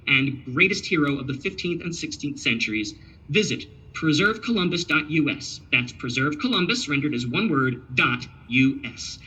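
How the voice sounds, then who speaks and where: A man's voice: fairly high pitch, sharp tone, slightly rough, rough recording. A man giving a scripted history lecture, emphatic and sprinkling in Italian words.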